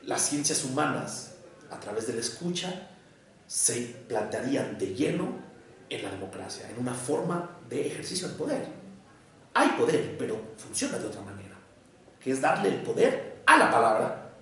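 Gravelly Mexican man lecturing in an echoey hall. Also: medium pitch, big pitch swings, fairly bright tone, recorded from a distance. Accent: Mexican, Mexico City mixed with Jalisco. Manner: measured, deliberate, speaks in short phrases with pauses. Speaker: psychoanalyst. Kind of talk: public lecture to an audience.

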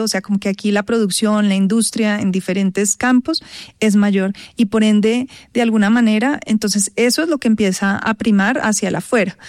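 A woman, a voice actress giving thoughtful, searching answers in an interview, full of pauses and restarts. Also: mid-range voice, a little mellow, no echo, clean studio sound. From Colombia. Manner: thoughtful, many fillers and restarts. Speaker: guest, voice actress.

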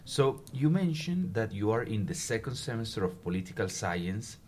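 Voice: mid-range voice, very animated, normal speed, darkish tone, slight background noise. An interviewer asking a student questions for listeners, encouraging her, rephrasing questions and supplying the words she is missing. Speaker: interviewer host.

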